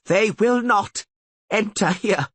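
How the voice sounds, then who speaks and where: A man's voice: high-pitched, slow pace, fairly bright tone, slightly rough, no echo, pitched above the performer's own voice, heavily acted. A man reading an audiobook voices an imperious older sorceress who speaks in a formal, commanding way. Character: stern, imperious older female archmistress sorceress.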